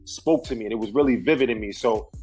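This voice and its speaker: A man from Brooklyn, a guest artist telling stories in a relaxed, slangy way, often restarting his sentences. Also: mid-range voice, quick pace, slightly rough, soft background music. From Brooklyn, New York. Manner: laid-back storytelling with slang and restarts. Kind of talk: casual interview talk.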